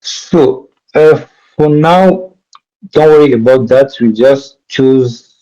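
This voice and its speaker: Man, French accent, coding instructor, mellow tone, halting self-correcting delivery, slightly dull lo-fi recording. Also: mid-range voice. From DR Congo. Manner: halting, often restarts and corrects himself mid-sentence. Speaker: workshop instructor. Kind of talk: live coding tutorial.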